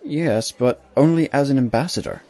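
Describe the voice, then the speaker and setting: A man playing a cautious, loyal stallion ambassador in an audio drama, measured and wary. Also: medium pitch, dark tone, lightly acted. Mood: reserved.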